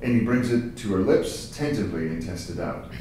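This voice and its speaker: A man's voice: deep voice, a little fast, warm tone. A man reading screenplay stage directions as scripted narration at a table read. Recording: very echoey room, distant mic, faint noise.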